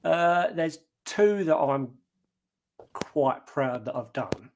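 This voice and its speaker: Deep-voiced man, relaxed and plain-spoken. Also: takes it slowly, slight background noise. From Britain. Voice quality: deep talking voice. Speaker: guest singer. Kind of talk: casual interview answers.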